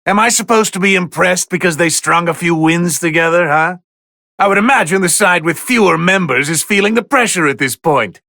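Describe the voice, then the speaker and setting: An actor playing a haughty, bored, easily irritated god of destruction who gives pompous, theatrical commentary in a fighting video game, with a gravelly voice. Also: male voice, sits high, brisk, pushed and bright, dry recording, studio quality, exaggerated voice. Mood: bored disdain. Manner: pompous, theatrical, drawling disdain.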